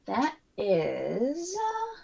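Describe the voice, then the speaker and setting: A woman's voice: high voice, wildly swooping pitch, drawn-out words, creaky, mellow, husky, highs cut off. A woman playing a tabletop game, talking casually and playfully as she describes what her character does. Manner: playful, jokey. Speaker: player.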